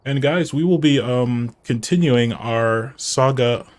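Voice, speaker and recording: A man's voice: mid-range voice, takes it slowly. A man with a nasal voice streaming live, chatting casually and rambling to himself and his chat while he sets up.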